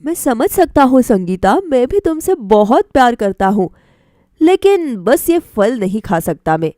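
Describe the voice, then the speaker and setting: A woman voicing a reluctant but affectionate young husband in a storybook, hesitant and gently refusing. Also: fairly high pitch, sing-song, a little slow, dry recording, studio quality.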